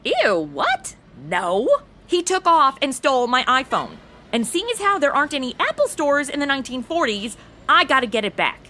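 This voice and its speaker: A woman's voice: high-pitched, sing-song, moderate pace, bright, forceful tone, slight background noise, heavily acted. An actress playing a brash, flippant young woman in a comedy audio drama, full of modern slang and sass. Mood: defensive, sassy. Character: brash, sassy modern young woman stuck in radio shows.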